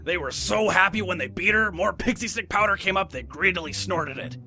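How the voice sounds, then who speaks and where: A man's voice: sits high, animated, a little fast, bright, forceful tone, soft background music, exaggerated voice. A man playing a boastful, unhinged police chief who rambles through crude stories in a comedic cartoon parody. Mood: gleeful storytelling. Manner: rambling, gleefully crude, blustering. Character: boastful, unhinged old police chief telling lewd tales.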